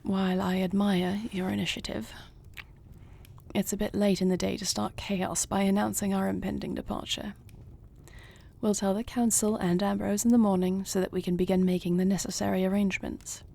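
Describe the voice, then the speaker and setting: A husky, slightly gravelly dragon queen acted by a woman speaks in an intimate fantasy audio roleplay. Her phrasing is formal and regal, yet soft and tender toward her beloved. She sounds resolute and measured, with breath in the voice. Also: mid-range voice, level tone. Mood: fond, teasing. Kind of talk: intimate audio roleplay acting, addressing the listener.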